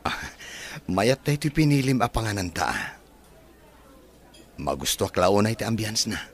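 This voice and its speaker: An actor with an Ilocano accent playing a gentle young man in love, soft-spoken and apologetic, in a radio drama. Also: fairly low pitch, big pitch swings, moderate pace. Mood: pleased.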